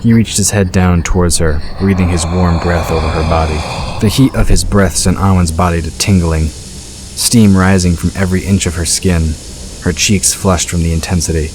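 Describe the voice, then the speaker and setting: A man's voice: low voice, steady tone, moderate pace. A man reading scripted fantasy fiction as the narrator of a story. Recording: clear background ambience noise.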